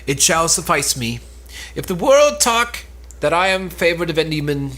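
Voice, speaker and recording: A man playing a scheming, lovesick woman in an Elizabethan stage drama, declaiming with drawn-out anguish and spite. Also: on the high side, expressive, fairly bright tone. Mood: defiant.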